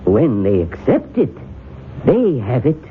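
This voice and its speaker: An actor playing a calm male professor who knows about curses, speaking in a measured, dryly amused way in a 1970s radio drama. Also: mid-range voice. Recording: tinny old radio broadcast with faint hiss.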